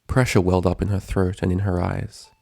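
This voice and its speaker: A man's voice: low voice, level tone, brisk, creaky, mellow, dry recording, studio quality. A man reading a story aloud as its narrator, in scripted prose narration. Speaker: narrator, fan fiction reader.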